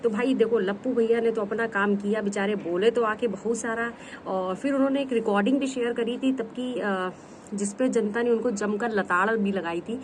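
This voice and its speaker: A woman talks through a roast commentary on online drama, scolding and mocking the people involved as if she is addressing them directly. Her voice sits fairly high. A faint hum of room noise stays underneath. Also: normal speed, rough recording. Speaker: commentary vlogger.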